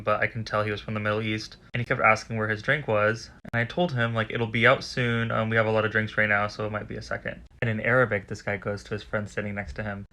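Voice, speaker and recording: A man's voice: lowish voice, bright, forceful tone. A man who works as a barista tells an amused, chuckling story. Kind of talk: casual storytelling.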